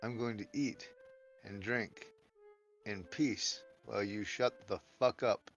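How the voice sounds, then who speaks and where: A man playing a tabletop roleplaying game, bantering casually in character with a gruff, heavily swearing manner. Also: on the low side, steady tone, slow, dull audio, soft background music.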